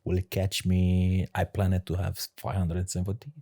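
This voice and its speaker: A man from Romania, a sommelier guest answering interview questions in halting English with many fillers and laughs. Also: deep voice, monotone, dark tone. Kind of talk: casual interview talk.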